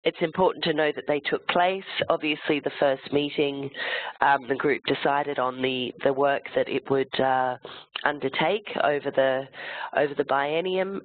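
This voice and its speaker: A webinar presenter with a cold and a croaky voice, explaining the material step by step, slide by slide. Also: medium pitch, dry recording. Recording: tinny phone-like line, dry sound.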